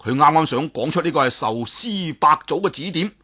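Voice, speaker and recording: A man tells a story with a Cantonese accent, his husky voice ranging widely in pitch as he builds drama. He sits a little high for a man and throws in sudden exclamations, slipping into the characters' voices as he narrates. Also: expressive, fairly quick, bright, forceful tone.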